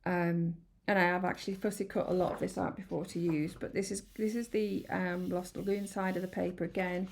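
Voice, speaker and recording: A woman chatting through a card-making tutorial, a little croaky from hay fever, rambling and apologetic with many ums. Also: fairly low pitch, level tone, brisk, hardly any pauses, a little mellow, slight background noise. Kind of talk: casual craft tutorial. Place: home craft room.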